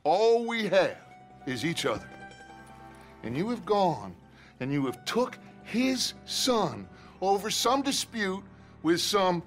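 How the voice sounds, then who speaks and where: A silver-tongued middle-aged outlaw leader, measured and slow. His slightly high voice rises and falls expressively, with quiet music behind. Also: big pitch swings, heavily acted. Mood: persuasive. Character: smooth-talking male outlaw gang leader. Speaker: gang leader. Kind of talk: video game cutscene acting.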